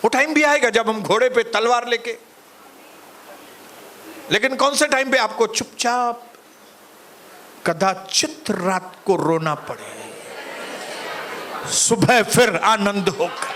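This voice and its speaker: High-voiced, unhurried preaching pastor. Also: male voice, some chatter behind, slight background noise. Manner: preaching, rising to shouted calls to the congregation; soft, low, slow in prayer. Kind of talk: sermon and closing prayer.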